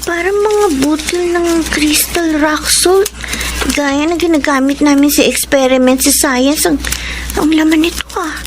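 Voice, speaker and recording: An actress playing a curious young sister who wonders aloud to herself in a radio drama. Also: female voice, high voice, fairly even intonation, a little slow, noisy background. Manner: inquisitive, talking to herself.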